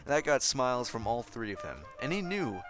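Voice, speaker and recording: Animated male storyteller over music. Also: mid-range voice, normal speed. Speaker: fan fiction narrator. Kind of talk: expressive scripted story narration.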